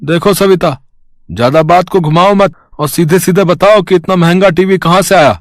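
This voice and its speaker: A man's voice: sits high, steady tone, normal speed, no room sound, clean studio sound. A man playing a worried, suspicious working-class husband in a cartoon story, earnest and questioning. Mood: suspicious, confronting. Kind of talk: Hindi cartoon story dialogue.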